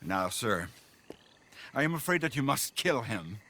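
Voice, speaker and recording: An actor playing an effusive, corrupt city mayor in a video game, speaking with flowery, oily politeness and pompous theatricality. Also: male voice, medium pitch, big pitch swings, measured pace, pushed and bright, full character voice. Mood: bitter, self-righteous. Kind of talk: dramatic video game acting.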